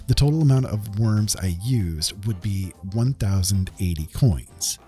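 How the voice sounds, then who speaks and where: A man's voice: on the low side, warm tone. A man narrating a gameplay video in a semi-scripted, explanatory voice-over. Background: background music.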